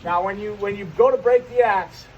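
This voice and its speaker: A man's voice: high voice, a little fast, narrow, tinny audio, a little hiss or hum. A man giving an unscripted, hands-on demonstration as a firefighting instructor, often repeating and restarting his phrases as he explains.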